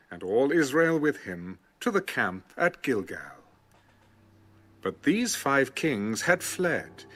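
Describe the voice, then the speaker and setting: Male scripture narrator, dramatic scripted read with lively intonation, music behind. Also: mid-range voice. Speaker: audio Bible narrator.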